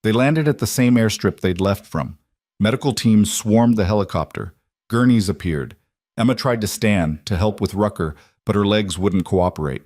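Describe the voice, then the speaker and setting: A man reads a dramatic story in a low, steady voice. The sound is dry and close. His delivery stays measured and even. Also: dry recording. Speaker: narrator. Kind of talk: scripted story narration.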